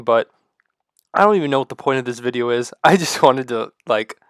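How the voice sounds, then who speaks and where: A man with a stuffy, congested voice and low energy, rambling excitedly to the camera at home. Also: no echo, studio quality. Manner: loose, rambling, excited fan talk with many fillers. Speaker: sports vlogger. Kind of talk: casual rambling talk to camera.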